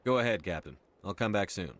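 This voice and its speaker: A decisive military commander in a video game. His voice is a bit low and moves quickly, firm and economical with words. There is slight background noise. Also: expressive, a bit bright. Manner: firm, terse. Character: steady, decisive male human military commander.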